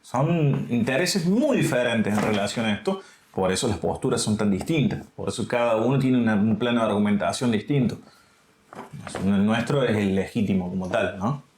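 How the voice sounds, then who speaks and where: A man's voice: fairly low pitch, expressive, normal speed, darkish tone, slight background noise. An Argentine man speaking as an interview guest, explaining his points in an earnest, teacherly way. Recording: echoey room.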